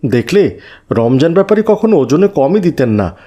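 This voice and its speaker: A man acts out a pious adult male cartoon neighbour. His voice is slightly high for a man, and he admonishes in a measured, fair-minded way. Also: very animated, dark tone. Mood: admonishing. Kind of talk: children's moral cartoon dialogue.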